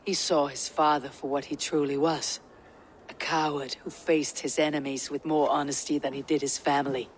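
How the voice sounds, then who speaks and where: An actor playing a stern, loyal Viking warrior with a firm, terse and formal way of speaking in a video game drama. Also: male voice, fairly high pitch, little pitch movement, moderate pace, a little hiss or hum, lightly acted, poor audio. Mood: accusing.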